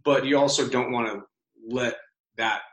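A man's voice: medium pitch, fairly even intonation, normal speed, a bit bright. A man guesting on a podcast interview, talking casually with plenty of fillers and swearing. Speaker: guest, business founder. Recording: roomy, echoey sound.

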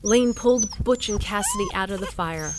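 A woman's voice: mid-range voice, normal speed, darkish tone, a little hiss or hum, lightly acted. A woman playing a scientist and mother who narrates her own story in a scripted audio drama. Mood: grave narrating. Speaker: narrator and lead character.

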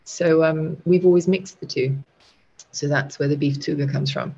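A woman's voice: deep voice, fairly even intonation, moderate pace, gravelly, mellow, husky. A middle-aged woman, a guest muralist, chatting casually in an interview, laughing easily and restarting her sentences. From Portugal and Britain. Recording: dull lo-fi sound.

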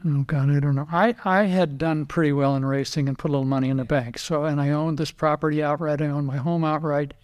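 An older man and retired racing driver, a podcast guest telling animated anecdotes, quoting others and often repeating words. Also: on the high side, fast, hardly any pauses, clear, resonant tone. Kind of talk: casual storytelling interview.

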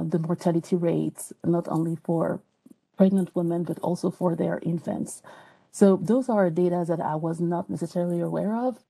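A woman's voice: fairly low pitch, creaky, warm tone, husky, low-quality recording. A woman from France with a French accent, a podcast guest speaking earnestly and reflectively, with frequent hesitations and restarts. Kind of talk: personal interview talk.

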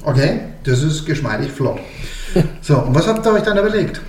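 A man's voice: a little high, lively intonation, moderate pace, darkish tone. A man interviewing casually in colloquial German phrasing, often interjecting, recorded with a roomy echo.

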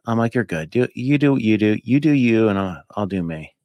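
A man in his fifties with a fairly low voice, talking as a podcast guest. He rambles, jumps between thoughts and fills gaps with "like" and "you know." The sound is dry and close, with little room behind it. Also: brisk, no echo. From United States. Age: 50s. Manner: rambling, animated, with many fillers. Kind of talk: casual chat.

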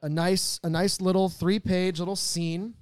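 A man's voice: high-pitched, flat delivery, a little slow, clear, resonant tone, no echo. A man hosting a podcast in casual banter, rambling, jokey and a bit profane. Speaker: podcast host. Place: recorded at a theater company's space.

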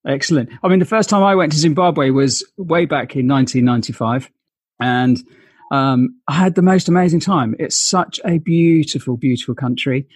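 A man's voice: on the high side, moderate pace, slightly rough, no echo. Male interviewer with a dry, close sound. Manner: affirming, with frequent short acknowledgements. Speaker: interview show host. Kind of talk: casual conversational interviewing.

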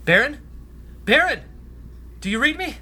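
A woman's voice: high voice, big pitch swings, measured pace, bright, forceful tone, slight background noise, exaggerated voice. A woman playing an idealistic, hot-headed young rebel radio host, earnest and impassioned, in a sci-fi radio drama. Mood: alarmed.

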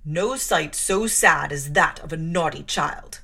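A woman voicing a tall, stern clergyman who questions a child slowly and gravely. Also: sits low, pitched below the performer's own voice, heavily acted. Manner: slow, loud, grave questioning. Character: stern solemn man, tall clergyman, bass voice.

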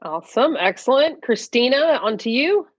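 A woman's voice: a little high, animated, moderate pace, husky, no room sound, dull audio. A woman moderating a casual roundtable, upbeat and steering the conversation. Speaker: guest moderator.

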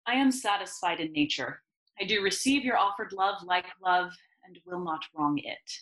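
A woman's voice: fairly low pitch, moderate pace, a bit bright, lightly acted, rough recording. A woman playing a proud, aggrieved young noble duelist in an audio drama, terse and intense. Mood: stiff, guarded. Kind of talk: classical stage verse in audio drama.

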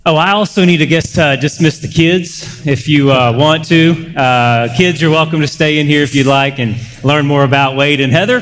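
A man hosting a casual live interview on a church stage, speaking informally and haltingly, often repeating phrases. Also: on the high side, a bit bright, faint music behind. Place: large church room, on stage. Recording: echoey hall, clear background noise.

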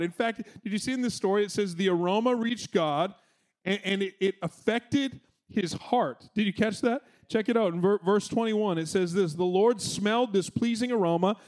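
A male pastor with a notably high-pitched voice preaches to a congregation. He has a loud voice and a conversational, energetic delivery full of playful asides. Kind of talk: sermon.

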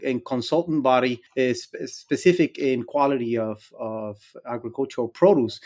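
A man's voice: mid-range voice, a little mellow. A middle-aged man from Guatemala with a Guatemalan Spanish accent, answering interview questions conversationally as an academic, in stop-and-start phrasing. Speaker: interview guest, academic engineer and extension specialist.

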